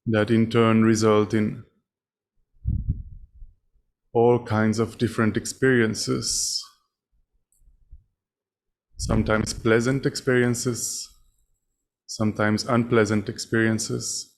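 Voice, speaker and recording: A man leading a guided meditation as a teacher, speaking in short, measured phrases with long pauses between them. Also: fairly low pitch, level tone, creaky. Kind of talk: guided meditation, spoken instruction.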